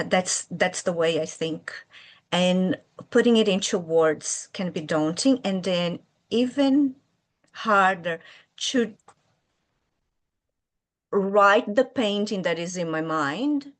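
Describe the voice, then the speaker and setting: A woman from Brazil whose first language is Portuguese, speaking English with a Brazilian accent and answering interview questions in a hesitant way, pausing with fillers as she searches for words. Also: mid-range voice. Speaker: guest, children's author.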